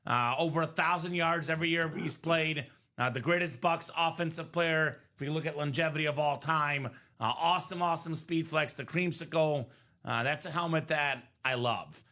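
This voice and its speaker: A man's voice: on the high side, moderate pace, bright, forceful tone. A man hosting a live sports card break, giving upbeat, salesy running commentary, his voice a little worn. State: voice a bit worn from singing. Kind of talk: live stream selling chat.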